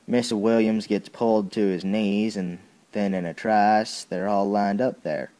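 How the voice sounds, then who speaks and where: A man performs a young Southern soldier telling his story in an audio drama. The voice is low and mellow, and the words come slowly and haltingly, in plain folksy speech weighted with grief and bitterness. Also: fairly even intonation, lightly acted. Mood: shaken recounting. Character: young Southern soldier recounting a childhood atrocity, bitter and grieving. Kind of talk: dramatic first-person monologue, audio drama.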